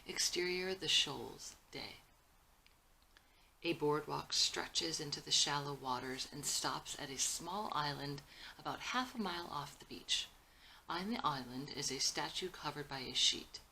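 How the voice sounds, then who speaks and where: A woman with a low, crisp-edged, bright voice narrates a film script. She reads out scene headings and action lines in a scripted style. There is faint noise behind her. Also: unhurried, poor audio. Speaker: screenwriter reading own script.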